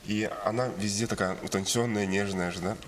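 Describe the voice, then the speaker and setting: Male director, lower voice, halting interviewee. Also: steady tone, slight background noise. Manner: halting, self-deprecating with laughs. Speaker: film director.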